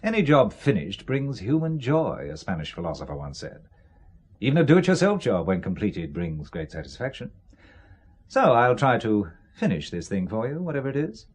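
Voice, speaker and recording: An actor playing a stubborn, sardonic businessman drawn into espionage in a radio drama, with a dry, measured delivery. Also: mid-range voice, very animated, a little mellow, husky. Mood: resigned, reflective.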